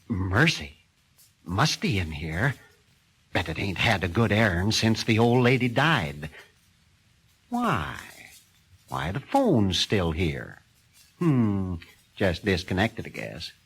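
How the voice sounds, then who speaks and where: A man's voice: on the low side, fairly bright tone, breathy edge, exaggerated voice. A man voicing a nosy, flustered older country woman who talks in a fussy, folksy, exclaiming way.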